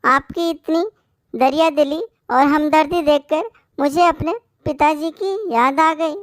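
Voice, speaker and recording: A woman voicing a kind, weary little female bird in a cartoon story, gentle and earnest. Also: sits high, fairly even intonation, a little slow, dry recording, clean studio sound. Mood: grateful, moved. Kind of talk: Hindi cartoon story voice acting.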